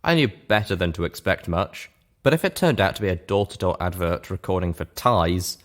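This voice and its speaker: A man's voice: low voice, moderate pace. A man reading a first-person story aloud as scripted narration. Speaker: narrator, reader of fan fiction.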